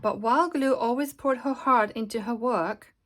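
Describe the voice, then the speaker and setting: A woman with a high-pitched voice reads a picture book aloud for children. Her scripted narration is expressive and deliberate, shaped for young listeners. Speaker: storybook reader and host.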